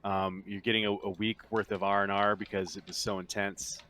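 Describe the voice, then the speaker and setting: A man with a lowish voice works as game master of a tabletop roleplaying game. He explains things in a casual, halting way. There is some noise on his voice chat recording. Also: little pitch movement, pushed and bright.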